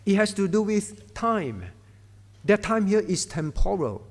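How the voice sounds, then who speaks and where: A man's voice: high-pitched, animated, unhurried. A male pastor, preaching a sermon in a conversational way and often turning to the congregation. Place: church hall. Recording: reverberant church hall.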